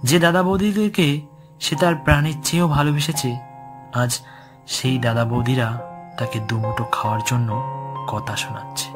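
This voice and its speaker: A man narrating a horror story in a dramatic, scripted storytelling style. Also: mid-range voice. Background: music.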